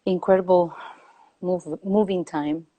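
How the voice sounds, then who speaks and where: A woman from Peru speaks in a low, warm voice that stays fairly flat in pitch. She talks slowly and reflectively, pausing often to find her words, over a video call. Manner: slow, thoughtful, with many hesitations. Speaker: guest. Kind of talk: reflective conversation. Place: home, calling in remotely.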